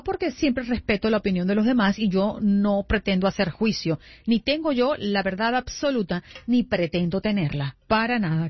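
A woman's voice: mid-range voice, dry recording, highs cut off. A woman hosting a call-in radio show, speaking reflectively and asking callers short, probing questions. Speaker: radio host.

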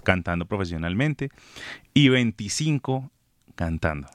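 A man in his thirties from Colombia, a guest singer chatting on a radio show, relaxed and laughing easily. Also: on the low side, a little slow, dry recording. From Villavicencio, Colombia. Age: 30s. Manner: laughs often, relaxed and friendly. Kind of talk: casual radio interview chat. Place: radio studio.

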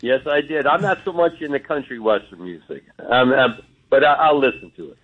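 An older man calling a radio show, talking in a relaxed, halting way with fillers. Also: medium pitch, breathy edge. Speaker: caller. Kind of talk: casual phone call to a radio show. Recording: tinny phone line.

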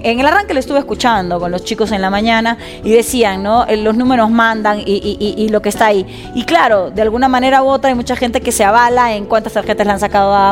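Woman host of a sports talk show, higher voice, upbeat and teasing, faint music behind.

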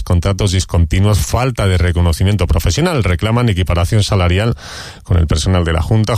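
A man presenting the local morning radio news in a measured, formal read. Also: low-pitched, a little mellow, no echo, studio quality. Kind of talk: scripted radio news reading.

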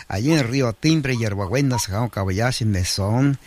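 A man's voice: medium pitch, normal speed, darkish tone, slight background noise. A man hosting a bilingual community radio program, from Oaxaca, Mexico, who greets listeners formally and reads out long lists of villages. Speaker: radio host.

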